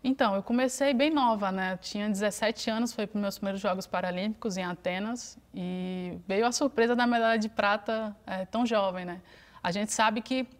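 A woman's voice: medium pitch, moderate pace. Brazilian woman swimmer in a studio interview. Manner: relaxed and reflective, frequent fillers. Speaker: interview guest, Paralympic swimmer.

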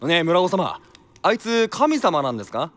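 An actor playing a brash, excitable young monk in a radio drama, boisterous and casual. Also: male voice, high voice, moderate pace. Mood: curious, chatty. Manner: boisterous, casual, reacts big. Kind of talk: Japanese radio drama acting.

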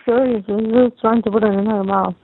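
A young adult woman chatting casually on a phone call, talking in a halting, rambling, repetitive way. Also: fairly high pitch, fairly even intonation. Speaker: caller talking with partner. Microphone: telephone call. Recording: tinny phone line with faint noise.

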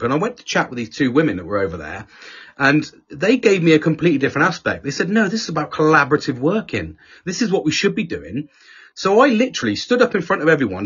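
A middle-aged man with a husky voice, telling a story in casual, sweary podcast chat and acting out the people he quotes. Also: a little high, a bit bright. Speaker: podcast host. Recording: dull lo-fi podcast audio.

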